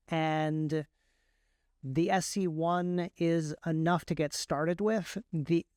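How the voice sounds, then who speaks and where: Male interview guest, smooth tone, very dry recording, conversational delivery that often restarts mid-thought. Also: a little high, dry recording. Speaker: guest, author and audiobook narrator. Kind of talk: casual explanatory interview chat.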